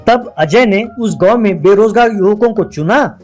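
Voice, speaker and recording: A man's voice: high voice, moderate pace, a little mellow, faint music behind. A man narrating a scripted moral story for a cartoon.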